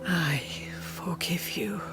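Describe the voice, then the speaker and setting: A woman's voice: low voice, over loud music. An actress playing a dying old woman, a cryptic seer in a game cutscene, solemn, weary and whispery. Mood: weary, tender. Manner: slow, cryptic, whispery pronouncements. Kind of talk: dramatic game cutscene acting.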